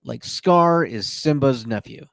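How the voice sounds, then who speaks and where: A man's voice: mid-range voice, lively intonation, unhurried, creaky, fairly bright tone, dry recording. Jokey guy hosting a podcast, lots of ums, dead dry mic. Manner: playful, jokey, many fillers. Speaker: podcast host. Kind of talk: casual chat.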